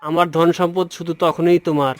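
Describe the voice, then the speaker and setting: A man plays a noble male king from a cartoon fairy tale, solemn and formal in his speech. His voice sits a little high, with music underneath. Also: level tone, normal speed, natural voice. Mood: solemn, warning.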